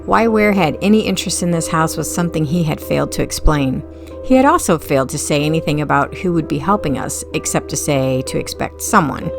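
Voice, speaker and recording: A woman's voice: deep voice, gravelly. A woman reading first-person fiction as an audiobook narrator, in a wry, conversational way.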